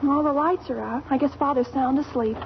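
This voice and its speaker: An American actress playing a gushing, earnest teenage daughter in a 1940s radio sitcom. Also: female voice, high voice, steady tone, brisk, creaky. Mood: concerned. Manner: earnest, dramatic. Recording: muffled old radio recording, faint noise.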